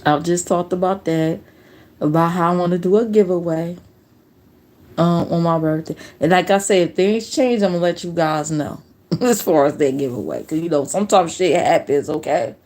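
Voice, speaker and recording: A woman in her late forties chatting casually on a live stream, telling stories in a relaxed, slangy way. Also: fairly low pitch, moderate pace. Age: 40s. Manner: relaxed storytelling with slang and laughs.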